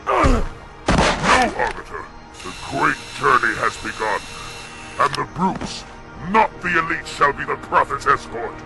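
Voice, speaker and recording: Brutish alien chieftain villain, gravelly growl, big swings in pitch, menacing, fanatical, game cutscene. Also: male voice, big pitch swings, measured pace, over loud music, heavily acted. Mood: enraged zealotry. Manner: menacing, swinging from hushed threat to roar. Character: brutal, zealous alien ape chieftain villain. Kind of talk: dramatic video game villain acting. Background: game score and effects.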